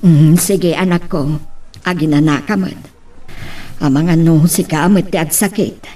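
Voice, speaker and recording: A woman playing a gentle, sickly middle-aged mother who worries over her child in a radio-style drama. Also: sits low, fairly even intonation, a little slow. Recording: distant from the mic.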